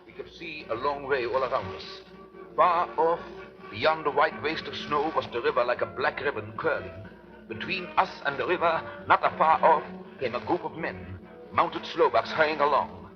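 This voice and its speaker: A man's voice: medium pitch, expressive, normal speed, music in the background, lightly acted. Man as grave vampire-hunting professor, muffled recording. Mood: grave narration. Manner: dramatic, urgent, swinging from hushed to forceful. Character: grave older male professor and vampire hunter. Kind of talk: 1930s radio drama acting, first-person narration. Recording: muffled, hissy 1930s radio recording.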